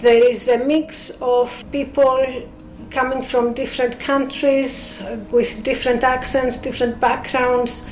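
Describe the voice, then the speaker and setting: Greek-accented woman guest, fairly high voice, held in a narrow pitch band, roomy studio sound. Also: level tone, a little mellow, a little hiss or hum. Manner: careful, measured explanations with frequent fillers. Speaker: speakers club member. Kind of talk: radio interview guest.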